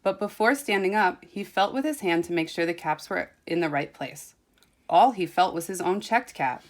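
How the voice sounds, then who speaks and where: A woman's voice: on the low side, expressive, normal speed. Woman reading a children's storybook expressively. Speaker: teacher reading to pupils. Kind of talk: children's storybook read-aloud.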